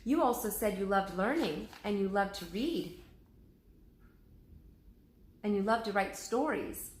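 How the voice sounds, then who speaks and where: Woman doing a grave, slow-speaking old president. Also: mid-range voice, lively intonation, reverberant, a little hiss or hum, lightly acted. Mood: measured. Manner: slow, measured, hushed. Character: worried, thoughtful older man, a president.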